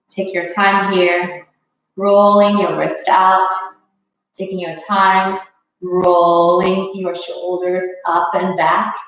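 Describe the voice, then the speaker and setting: A woman leading a live online yoga class, her voice a little rough from allergies, giving calm, guiding cues. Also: on the low side, far from the mic. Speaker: yoga instructor. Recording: echoey room, away from the mic.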